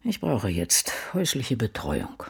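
An actress playing an ailing elderly American novelist in a radio drama, brooding and blunt, speaking in a reflective, deliberate way. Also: female voice, a bass voice, huge pitch swings, gravelly, breathy edge, full character voice. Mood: matter-of-fact. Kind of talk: radio drama acting, intimate monologue.

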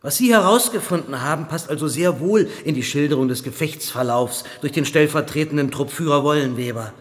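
An actor playing a stern, defensive and cynical army colonel in a radio drama, with sardonic, clipped official phrasing. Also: male voice, fairly high pitch, a little fast, in a large hall, close to an everyday voice. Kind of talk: German radio drama dialogue.

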